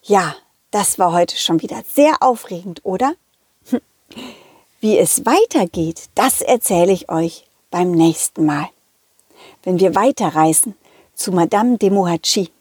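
A woman's voice: on the low side, animated, a little slow, darkish tone, husky, no room sound, clean studio sound. A woman voicing a cheerful, trusting male dog who chattily tells children's stories in a childlike, direct way. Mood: cheerful, encouraging. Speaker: storytelling puppet character, host. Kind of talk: lively children's audio story narration.

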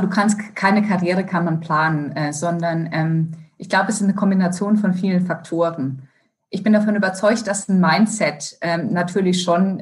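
A woman from Brazil, a finance executive, answering interview questions in a thoughtful way, with many fillers and restarts and some English words mixed in. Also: on the low side.

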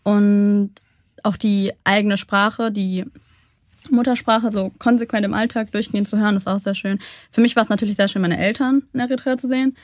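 A woman's voice: mid-range voice, fairly even intonation, no echo. Thoughtful young woman, dry close sound. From Germany. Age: young adult. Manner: thoughtful, measured, frequent hesitations. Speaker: interview guest. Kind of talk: reflective interview talk.

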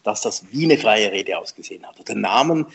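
A man, a memory trainer telling lively, self-deprecating anecdotes in an interview and laughing often. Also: fairly low pitch, a little fast, gravelly, breathy edge, highs cut off. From Switzerland. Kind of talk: conversational storytelling interview.

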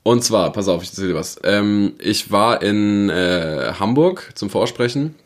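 A young man with a lowish voice co-hosts a podcast, talking loosely. He rambles through a travel story with plenty of fillers and restarts. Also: expressive, unhurried. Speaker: podcast co-host. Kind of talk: casual chat and storytelling.

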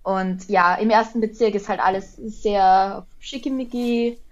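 A woman's voice: mid-range voice, steady tone, unhurried, highs cut off. A young Austrian woman chatting casually on a video call, hesitant and full of fillers.

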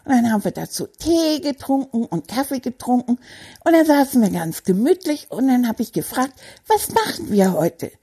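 A woman puts on a chatty male stuffed rat who tells his tale like an excited child. The voice sits high, soft-edged and husky, with a mellow tone. He gossips and brags as if the listener is his best friend. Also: moderate pace, exaggerated voice. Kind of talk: children's audio story monologue.

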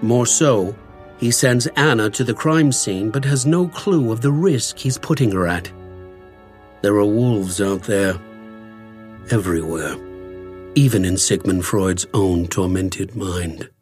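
A man's voice: fairly low pitch, slow, darkish tone, soft background music. Dramatic male mystery narrator. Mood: suspenseful. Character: male series narrator setting up a dark mystery.

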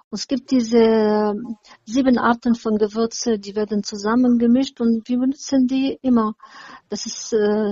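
A woman's voice: fairly high pitch, dark tone, no room sound, lo-fi sound. A woman in her seventies from Syria with a Syrian Arabic accent, talking hesitantly with many fillers as an interview guest. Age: 70s. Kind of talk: casual interview chat.

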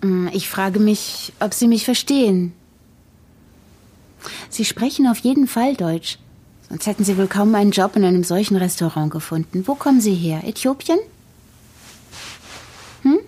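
A woman's voice: medium pitch, animated, normal speed, pauses often, warm tone, slightly rough. An actress playing a pragmatic, tired young mother in a naturalistic audio drama, matter-of-fact and questioning with her friends. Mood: probing, patient.

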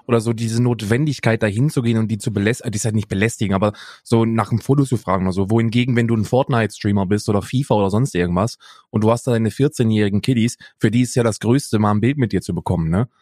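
A man's voice: on the low side, rapid-fire, a little mellow, no echo, clean studio sound. A man co-hosting a podcast in casual chat, a slangy and sardonic storyteller. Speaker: podcast co-host.

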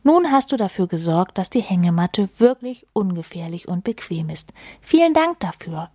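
A woman's voice: lowish voice, sing-song, moderate pace, warm tone, no room sound, clean studio sound. A woman voicing a trusting, patient little male mouse from a storybook, eager and good-natured, with a questioning manner. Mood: eager, helpful.

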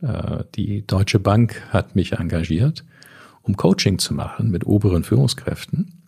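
A Canadian man who learned English first, speaking German as a coach and podcast host in a measured, reflective way. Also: on the low side, very animated, vocal fry, a little mellow, breathy edge, no room sound, clean studio sound. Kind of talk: scripted intro then interview answers.

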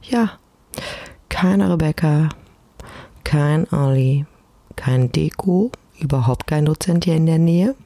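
Husky, low-key woman hosting a podcast. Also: slow pace, warm tone, no room sound. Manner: self-deprecating, informal, chatty. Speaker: podcast host. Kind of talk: casual solo podcast talk. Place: at home, alone. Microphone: close personal microphone.

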